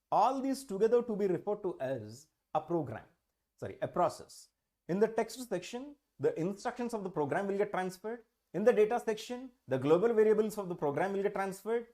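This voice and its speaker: Guy teaching online, fairly high voice, keeps asking questions and repeating himself. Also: dark tone. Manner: repetitive, rhetorical questions. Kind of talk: online exam-prep lecture.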